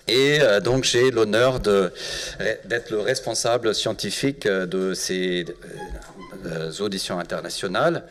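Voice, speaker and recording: A man with a Bavarian German accent, a planning professor opening a public lecture in a hesitant way, with many filler sounds. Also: on the low side. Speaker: urban planning professor, event moderator.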